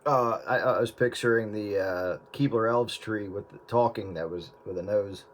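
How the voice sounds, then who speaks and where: A man chatting casually in a group with a joking, deadpan manner. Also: on the low side, moderate pace. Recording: very loud background noise, radio-like.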